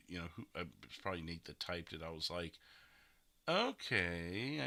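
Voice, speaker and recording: A man's voice: lowish voice, vocal fry, pushed and bright. A man hosting a podcast, chatting casually as he reviews past game episodes. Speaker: podcast host and streamer.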